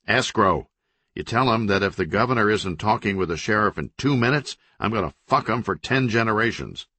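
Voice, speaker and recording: A blunt, commanding governor, as a male narrator voices him in his own low, dry voice. He talks with tight control and shifts into profane orders, with a lively rise and fall of pitch in an audiobook reading. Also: brisk, no room sound. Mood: angry commanding. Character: hot-tempered male state governor, forceful.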